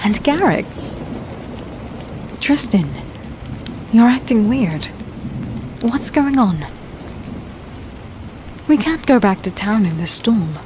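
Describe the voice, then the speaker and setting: High-voiced, slow-speaking worried partner. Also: female voice, very animated. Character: warrior's caring female partner, worried, plainspoken, quick to scold. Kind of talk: dark fantasy audio drama dialogue. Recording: rainstorm noise behind the voice.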